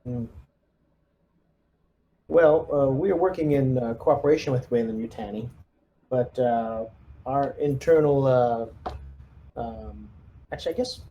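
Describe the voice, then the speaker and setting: A man playing a tabletop game, asking questions tentatively and thinking out loud, with faint noise behind him. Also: mid-range voice, unhurried, pauses often, lo-fi sound. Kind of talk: casual, hesitant in-game questioning. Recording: faint background noise, ambience.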